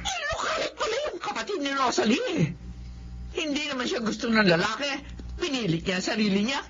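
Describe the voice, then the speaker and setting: An indignant Filipino parent in radio melodrama. The voice is high and very animated, with slightly dull sound. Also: male voice, brisk, very noisy. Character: indignant parent of a troubled son. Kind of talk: Filipino radio drama acting. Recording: muffled radio drama audio.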